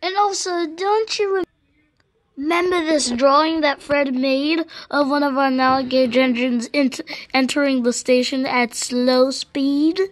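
A high-voiced female narrator tells an improvised story for a hobby cartoon. The delivery is halting, with repeated and restarted words as the tale gets pieced together. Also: steady tone, dry recording.

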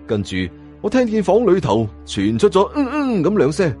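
A man's voice: high voice, wildly swooping pitch, normal speed, mellow, faint music behind. A man with a Hong Kong Cantonese accent, narrating an audiobook in a dramatic first-person storytelling style.

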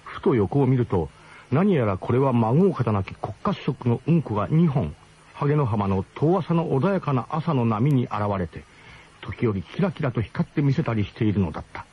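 A man's voice: mid-range voice, big pitch swings, normal speed, husky. A man narrating a radio drama in the first person, in a colloquial, wryly literary storytelling manner. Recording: dull, slightly noisy old radio broadcast.

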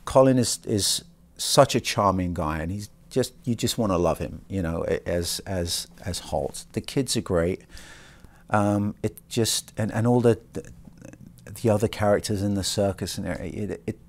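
A man's voice: fairly low pitch, a little slow. A middle-aged man chatting in a seated press interview, joking about himself and restarting his sentences often. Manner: chatty and self-mocking, many restarts. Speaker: actor. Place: film set interview, indoors.

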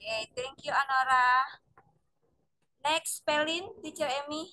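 A woman's voice: high voice, measured pace, sharp tone, rough recording. A woman teacher, politely calling on her students one by one over a video call. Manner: polite, calls on students by name. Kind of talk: hosting a class video call.

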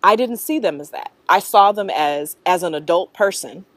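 A middle-aged American woman answering interview questions reflectively, in a thoughtful, halting manner that often checks in with the listener. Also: on the low side, lively intonation, dry recording. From North Carolina, US. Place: outdoors in a leafy park.

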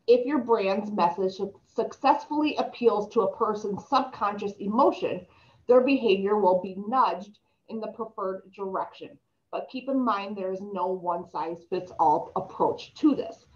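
Young woman presenter on a video call, slight lisp, reads slides aloud with stumbles, somewhat dull laptop-mic sound. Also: medium pitch. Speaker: presenter reading an article aloud.

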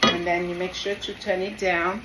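A woman's voice: lowish voice, level tone, normal speed. A middle-aged woman from Senegal with a French West African accent, giving an encouraging, casual cooking demonstration in her home kitchen. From Senegal, grew up in the south of France. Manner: encouraging, addresses viewers affectionately. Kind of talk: casual instructional cooking vlog. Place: small home kitchen, indoors. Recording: loud sizzling and stirring kitchen noise. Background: frying, sizzling, stirring.

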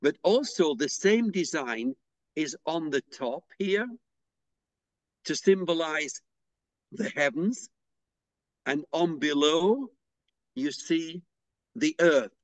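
Soft-spoken man explaining a craft. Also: fairly high pitch, expressive, slow, long pauses, no room sound. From England. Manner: hesitant, with fillers and restarts. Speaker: pysanky artist and interviewee. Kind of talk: unhurried explanatory interview talk.

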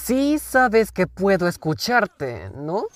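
Man as a confident, smooth-talking cartoon leader. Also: medium pitch, unhurried, over loud music, close to an everyday voice. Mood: persuasive. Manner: casual, persuasive, faintly smug. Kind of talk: animated fan dub acting.

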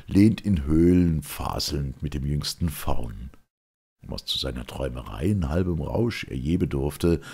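Very deep, gravelly man reciting verse. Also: darkish tone. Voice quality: gravelly, husky. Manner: solemn, theatrical, measured. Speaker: reader of classic literature. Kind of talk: dramatic verse recitation of a classical play.

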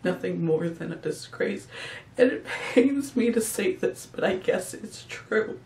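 A heartbroken, abandoned friend acted by a man recites a bitter, wistful monologue at a very slow, mournful pace. The sound is echoey and a little distant. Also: sits high, animated. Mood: bitter, hurt, then tearful pleading. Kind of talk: dramatic audition monologue. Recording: echoey room, distant mic, faint noise.

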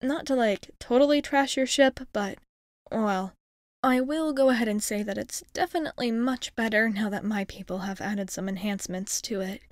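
A woman's voice: on the high side, normal speed, no room sound, clean studio sound, close to an everyday voice. A woman playing a friendly, shy young alien crewmate in an ASMR roleplay, speaking hesitantly and earnestly in casual girlish phrasing. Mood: playful, chatty.

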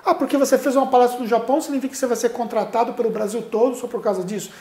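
A man's voice: extremely high pitch, fairly even intonation, rapid-fire, warm tone. A middle-aged man with a Brazilian accent, giving earnest advice straight to the viewer as a public speaking coach, recorded on a lapel mic in a studio. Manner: earnest, addresses viewer directly, gestures a lot while talking. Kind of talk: direct-to-camera advice video. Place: indoor studio with plain light backdrop. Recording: roomy echo.

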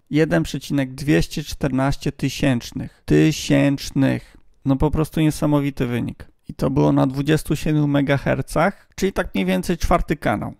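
Very creaky-voiced man explaining casually. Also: fairly high pitch, darkish tone, no echo, clean studio sound. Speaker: hobbyist radio video host.

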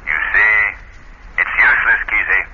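An actor playing an unseen, taunting avenger in a theatrical old radio drama style. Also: male voice, on the low side, lively intonation, moderate pace, slightly rough. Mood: commanding, accusing. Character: unseen male avenger, taunting, commanding, eerie. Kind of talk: 1930s-40s radio drama acting. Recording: dull, lo-fi old radio recording.

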